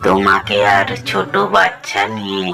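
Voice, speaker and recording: A man voicing a cunning, gravelly monkey husband. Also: on the low side, big pitch swings, normal speed. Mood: reassuring, scheming. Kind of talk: cartoon dialogue. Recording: loud music behind the voice.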